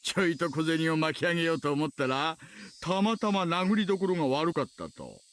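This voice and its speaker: An actor playing a sly, easygoing middle-aged police detective in a Japanese visual novel, casually polite and probing with a wry edge. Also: male voice, a little high, sing-song, very fast, fairly bright tone.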